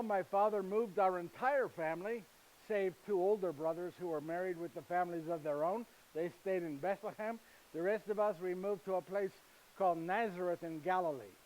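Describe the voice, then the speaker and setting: An actor performing a first-person monologue as a gentle, humorous husband and father. He speaks broken, foreign-sounding English and keeps checking in with listeners through tag questions like "yes?". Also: sits high, close to an everyday voice, low-quality recording. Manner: chatty, storytelling, addresses listeners directly. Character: gentle, humorous male carpenter, husband and father, middle-aged. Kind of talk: live theatrical monologue.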